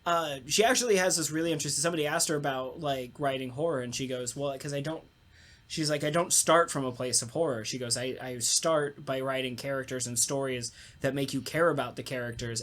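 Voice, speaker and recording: A man's voice: medium pitch, fairly even intonation, a little fast, fairly bright tone. A man who works as a voice actor, chatting casually on a podcast panel in a jokey, rambling, self-deprecating way. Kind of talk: casual chat about radio plays.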